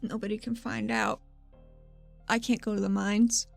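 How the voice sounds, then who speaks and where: A woman improvising in-character dialogue as a player in a tabletop role-playing show, halting and confiding. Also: medium pitch, level tone, vocal fry. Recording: music bed behind the voice.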